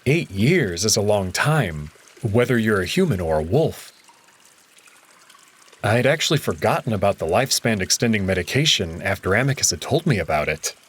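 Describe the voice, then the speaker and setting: A man reading a visual novel aloud in first person, relaxed and conversational. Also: lowish voice, moderate pace, slightly rough.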